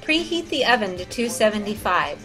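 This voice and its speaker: A woman's voice: mid-range voice, sing-song, brisk. A woman hosting a cooking show, narrating recipe steps as a scripted voice-over. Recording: music under the voice. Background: background music.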